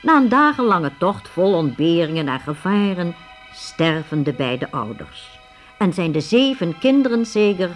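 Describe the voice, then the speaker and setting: A woman narrator tells the story of a radio play. Her fairly low voice moves expressively up and down, clearly phrased, with faint music behind. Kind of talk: scripted radio drama narration.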